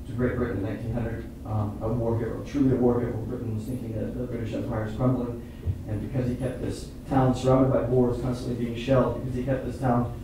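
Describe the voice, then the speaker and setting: Man, middle-aged, halting earnest explanation, playwright at talkback, very echoey theatre, noisy distant mic. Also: mid-range voice, flat delivery. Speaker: playwright and performer at a post-show talkback. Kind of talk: informal discussion with an audience. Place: indoor theatre stage, seated on the floor. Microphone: far camera microphone. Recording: distant echoey hall recording with noise.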